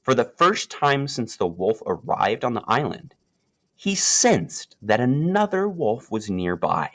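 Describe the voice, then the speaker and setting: A man reading a children's nature story aloud, with expressive storytelling delivery and deliberate phrasing. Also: mid-range voice. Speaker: storybook reader.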